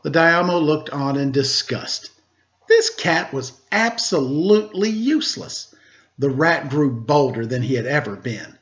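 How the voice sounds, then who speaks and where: A man working as a storyteller, reading a children's tale in an expressive narrating style. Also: fairly high pitch, unhurried. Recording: slightly dull, lo-fi sound.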